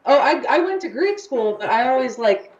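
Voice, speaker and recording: A woman's voice: sits high, very animated, moderate pace, a little mellow. Young woman chatting on the radio, lots of ums and restarts, kinda roomy and muffled. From United States. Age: young adult. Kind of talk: casual radio talk.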